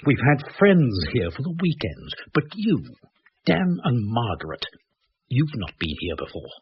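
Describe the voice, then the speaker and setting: An old radio play with dulled sound and faint hiss. An agitated British man presses his point urgently and emphatically, his middle-pitched voice moving widely as he hunts for explanations. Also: full character voice. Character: agitated, insistent man searching desperately for explanations. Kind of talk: radio drama acting. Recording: dull, noisy old radio recording.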